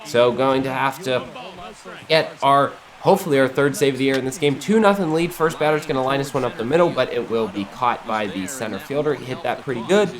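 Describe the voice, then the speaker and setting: A man's voice: mid-range voice, brisk, a crowd in the background. Sniffly American man doing casual game commentary. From Ohio, United States. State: sniffly, getting over the flu. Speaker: gaming YouTuber, series host.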